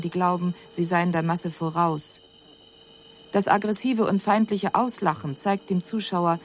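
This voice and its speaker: An actress playing a detached extraterrestrial envoy in a radio drama, giving an analytical report in precise, sober, formal language. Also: female voice, fairly low pitch, darkish tone, natural voice. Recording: faint hiss on 1980s radio production.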